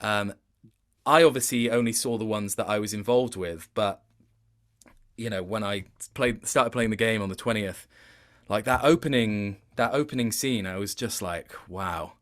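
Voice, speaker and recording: A man's voice: lowish voice, moderate pace, a bit bright. A man speaking as an interview guest in a casual, conversational style. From Wales.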